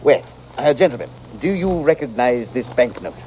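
An actor playing an unflappable swindling financier in a radio western, speaking in pompous, formal, wordy phrases. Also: male voice, mid-range voice, animated, normal speed, a little mellow, lightly acted. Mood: pompous assurance. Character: smooth-talking, unflappable swindling financier in the Old West. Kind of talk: 1940s-50s radio western acting. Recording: faintly noisy vintage radio recording.

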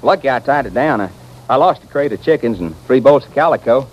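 An actor playing a salty, grumbling frontier stagecoach driver with folksy, colorful backwoods talk, in an old radio western. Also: male voice, mid-range voice, animated, fast talker, natural voice. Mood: exasperated. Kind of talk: 1940s-50s radio western acting. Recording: dull, noisy old radio recording.

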